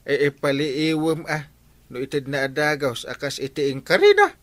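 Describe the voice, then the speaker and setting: An actor playing a hesitant, stammering village captain in an Ilocano radio comedy drama, with an Ilocano accent. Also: male voice, a little high, lively intonation, normal speed, fairly bright tone, no room sound. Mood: flustered, scolding.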